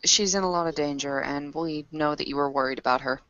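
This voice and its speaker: A woman improvising in character in a tabletop roleplaying session, with hesitant, halting phrasing. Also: low-pitched, fairly bright tone, no echo. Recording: dry, dull lo-fi audio.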